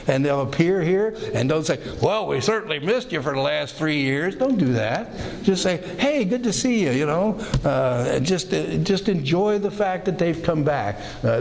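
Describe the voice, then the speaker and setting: An older male pastor with a raspy, slightly raised voice preaches a rambling, folksy sermon. He slips into quoted voices as he talks. The sound carries a long reverb from the church hall. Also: fairly high pitch. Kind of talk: conversational sermon. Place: church sanctuary.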